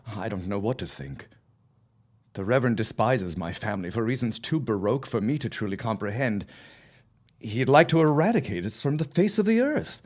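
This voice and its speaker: A grieving father in an audio drama, acted by a man, speaks in a low voice that swings widely up and down. He is racked with guilt and torn by doubt, a man of the early twentieth century. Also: expressive, moderate pace, darkish tone. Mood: anguished, doubtful.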